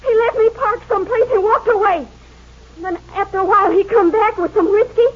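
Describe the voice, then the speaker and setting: A woman's voice: very high-pitched, a little fast. An actress playing a defiant, scheming young small-town woman in a 1950s radio drama. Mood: tearful, pleading. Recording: muffled vintage 1950s radio recording.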